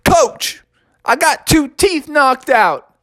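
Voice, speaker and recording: Storybook role: excitable young bear boy, high and swooping, a man voices him. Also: slow, exaggerated voice. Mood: excited.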